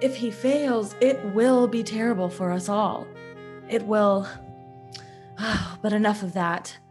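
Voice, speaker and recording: A woman's voice: mid-range voice, steady tone, slow, soft background music, close to an everyday voice. A woman playing a solemn, authoritative military superior in 1940s-style radio drama. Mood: grave, commanding. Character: grave, commanding military superior in a wartime radio serial.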